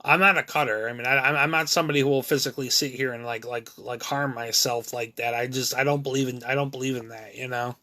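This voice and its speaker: A man in his thirties rambling candidly through a personal monologue for his video, halting often with restarts and fillers. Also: mid-range voice, steady tone, bright, forceful tone. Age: 30s. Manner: candid, self-critical. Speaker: video creator.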